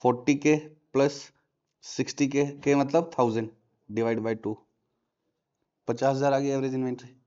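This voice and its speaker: A man teaches a classroom lesson, stopping again and again to put questions to his students. His voice sits at an ordinary pitch. The recording sounds muffled and lo-fi. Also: fairly even intonation, normal speed, pauses often, a little mellow. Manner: quizzing students with repeated questions. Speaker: commerce teacher. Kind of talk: classroom lecture.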